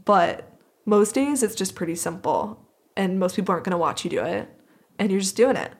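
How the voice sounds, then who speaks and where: A woman's voice: mid-range voice, expressive, brisk, slightly rough. A woman with a mid-range voice talks in a reflective podcast conversation. She comes across as earnest and appreciative, speaking as a host who is sharing her own thoughts. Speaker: podcast host being interviewed.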